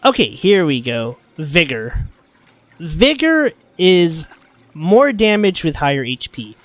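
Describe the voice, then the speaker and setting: A man's voice: a little high, sing-song, takes it slowly, no room sound. A man streaming a casual game breakdown, sniffling as he comes down with a cold, swearing and laughing often.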